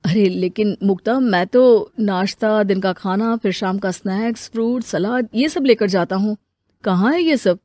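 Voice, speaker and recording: A woman's voice: mid-range voice, unhurried, dark tone, dry recording, clean studio sound, lightly acted. A woman voicing a demanding, perplexed working husband, insistent and exclaiming, in a family story. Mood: baffled.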